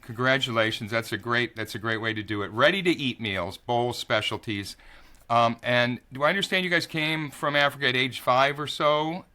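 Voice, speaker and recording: A man's voice: mid-range voice, moderate pace, sharp tone. A man hosting an interview, upbeat and reading out his guests' introductions. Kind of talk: conversational interview.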